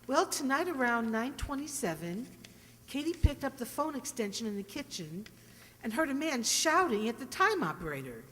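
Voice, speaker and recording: A woman acts out a playful, loving wife from a 1940s radio sitcom. Her voice is high and swings expressively as she coaxes and chatters. It rings through a live hall with long reverb. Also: sing-song, normal speed, fairly bright tone. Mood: chatty, playful. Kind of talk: old-time radio comedy acting.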